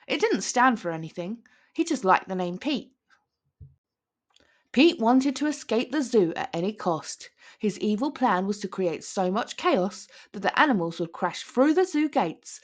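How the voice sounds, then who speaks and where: Animated woman reading a children's story. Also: medium pitch. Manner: theatrical, with stretched words for emphasis. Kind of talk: dramatic read-aloud of a picture book.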